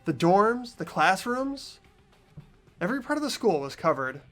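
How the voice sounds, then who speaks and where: A man plays an earnest teenage protagonist from a video game. His voice is fairly high and very animated, full of anxious questioning, with faint music behind. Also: measured pace, heavily acted. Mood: uneasy. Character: earnest, anxious teenage boy narrating a mystery. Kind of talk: amateur voice acting of a video game.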